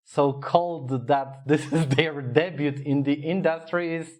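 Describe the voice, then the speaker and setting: A man with a Polish accent co-hosting a podcast, speaking English as a second language. He talks casually in a halting way, searching for words with many fillers and swearing freely. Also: a little high, fairly even intonation. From Poland. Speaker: podcast co-host.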